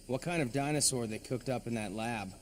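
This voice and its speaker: An actor playing a wary, laconic animal trainer in film dialogue, speaking tersely and dryly. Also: male voice, mid-range voice, fairly quick, a little hiss or hum, close to an everyday voice. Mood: dry, sceptical. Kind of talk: film dialogue in a game cutscene.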